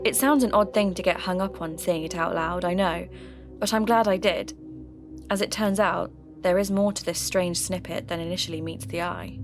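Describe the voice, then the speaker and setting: A woman playing a sceptical, intrigued local radio host who investigates ghost stories in measured, scripted podcast narration. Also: on the low side, fairly even intonation, natural voice. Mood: intrigued, uneasy. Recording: music bed under voice.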